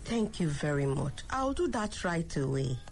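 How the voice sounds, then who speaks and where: A woman's voice: low-pitched, sing-song, a little slow, darkish tone, faint music behind, exaggerated voice. A woman playing a trusting older lady. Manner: trusting, then wailing in dismay. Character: older Nigerian woman, trusting bank customer. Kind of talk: radio skit acting.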